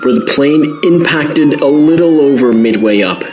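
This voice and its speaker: A man's voice: a little high, lively intonation, a little slow. A man playing an earnest, awed eyewitness radio reporter giving a live report in an audio drama. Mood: awed, descriptive. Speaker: field reporter. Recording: noisy, muffled outdoor radio link.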